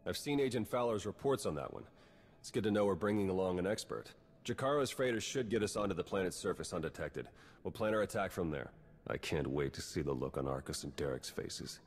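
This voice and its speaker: An actor playing a wry, terse, roguish spy in a video game, dry and matter-of-fact. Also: male voice, low voice, animated, fairly quick. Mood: businesslike. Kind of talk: cinematic video game acting.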